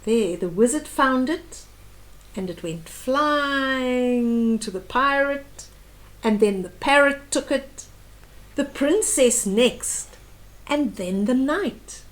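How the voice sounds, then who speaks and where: A woman's voice: high-pitched, animated, slow. An older woman reading a picture book aloud to young children, expressively and with explanatory asides.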